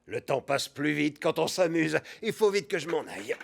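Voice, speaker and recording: A performer playing a polite, good-natured, hurried grown man in a children's cartoon. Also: medium pitch, big pitch swings, creaky. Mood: amiable. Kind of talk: dubbed children's cartoon dialogue.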